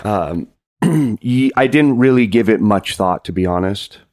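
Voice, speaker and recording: Man, fairly low pitch, casual chat, halting, self-effacing, podcast guest. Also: expressive, a little mellow.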